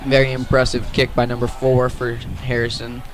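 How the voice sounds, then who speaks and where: An American man giving radio play-by-play sports commentary in a measured announcing manner. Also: mid-range voice, monotone, low-quality recording.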